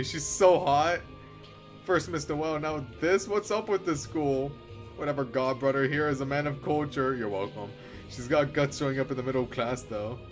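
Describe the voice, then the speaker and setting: Expressive man reading a game story aloud, music behind. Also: a little high. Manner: theatrical, expressive, swears freely. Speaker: streamer reading a visual novel aloud. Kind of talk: dramatic read-aloud mixed with casual live reactions.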